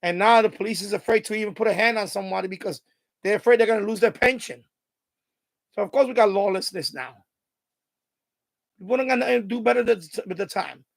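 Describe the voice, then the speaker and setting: A man's voice: fairly quick, creaky, long pauses. A man in his fifties with a heavy Bronx accent, chatting animatedly on his radio hobby live stream. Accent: Bronx New York. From born in Puerto Rico, raised in the Bronx. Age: 50s. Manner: animated, high intensity, worked up. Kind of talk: casual live stream chat.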